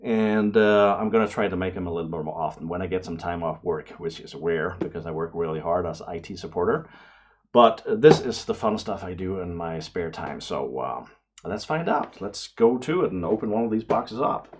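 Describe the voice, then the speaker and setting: Enthusiastic middle-aged man with a lowish voice. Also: expressive, moderate pace. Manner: enthusiastic, exclamatory, rambling. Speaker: video host. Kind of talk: casual unboxing vlog.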